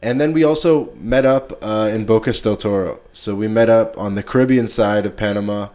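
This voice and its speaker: Man, low voice, podcast host, laid-back, slangy, casual conversation. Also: normal speed, a little mellow.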